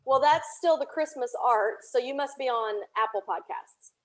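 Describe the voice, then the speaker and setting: A woman's voice: high voice, moderate pace. Chatty woman explaining things in conversation. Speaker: podcast guest, audio drama producer. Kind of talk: casual interview chat.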